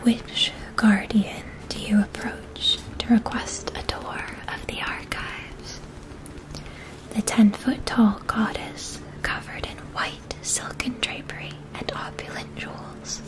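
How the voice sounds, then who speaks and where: Whispering, slow-paced ASMR woman. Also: fairly high pitch, level tone, rough recording. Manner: gentle, unhurried, soothing, careful enunciation. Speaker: ASMR roleplay performer. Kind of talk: whispered ASMR, second-person fantasy story with choices.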